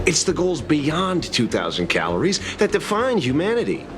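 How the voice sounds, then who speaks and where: A man playing a wry, world-weary but sharp private detective in a video game, speaking in a dry, musing way. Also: a little high, wildly swooping pitch, normal speed, slight background noise. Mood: wry, philosophical.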